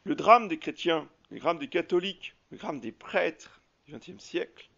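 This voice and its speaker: A French-speaking priest preaching in a slightly high voice, pausing on fillers and starting phrases over as he explains. The recording is dull and lo-fi. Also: lively intonation, vocal fry, a bit bright. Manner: hesitant, halting with many fillers and restarts. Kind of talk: sermon.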